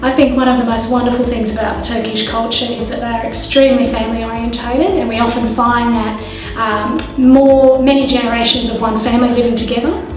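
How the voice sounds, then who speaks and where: A middle-aged woman from the Gold Coast with an Australian accent, speaking gently with frequent ums. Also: a little high, flat delivery, brisk. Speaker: school staff member. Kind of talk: personal introduction to camera. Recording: echoey room, distant mic, background music.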